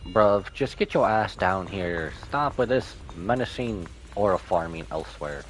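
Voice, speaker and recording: A man's voice: sits low, animated, unhurried, poor audio. A man streaming a game with casual live reaction commentary, slangy, joking and incredulous in manner.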